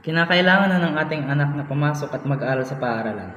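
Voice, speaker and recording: A man's voice: on the high side, expressive, fast talker, fairly bright tone. A man playing a firm father and head of the household in an amateur radio drama. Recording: distant, muffled, echoey hall, background noise.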